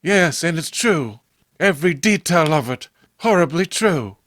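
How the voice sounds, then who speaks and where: A man's voice: fairly high pitch, lively intonation, unhurried, a bit bright, dry recording. Frightened widow, acted by a man, melodramatic horror comic delivery, close dry recording. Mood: insistent. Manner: melodramatic, fearful. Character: frantic widow recounting a killer doll's attack.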